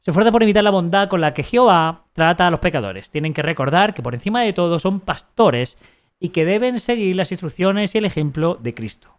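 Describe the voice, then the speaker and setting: A man from Spain with a slightly high-pitched voice, reading aloud on a live stream. He keeps stopping to mock the text with sarcastic comments, often stumbling and restarting his sentences. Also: animated. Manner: sarcastic, mocking asides; halting, frequent restarts while reading.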